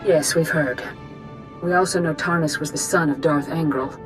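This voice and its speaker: An actress playing a wise, stern mystic knight master in a video game, measured and authoritative. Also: female voice, low voice, fairly even intonation, natural voice. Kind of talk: video game character acting. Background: music.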